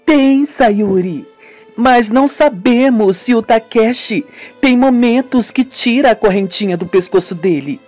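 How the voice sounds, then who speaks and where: Woman voicing a young sister, devout, earnest, emphatic, radio drama, slow delivery, faint music behind. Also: on the high side, animated, darkish tone, voice pushed up. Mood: earnest, preaching. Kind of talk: radio soap opera acting.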